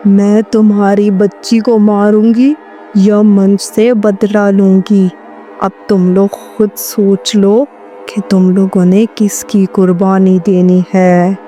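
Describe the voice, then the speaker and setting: A woman voicing a vengeful female ghost witch who speaks in a slow, drawn-out, menacing way. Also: medium pitch, level tone, mellow, faint music behind, lightly acted.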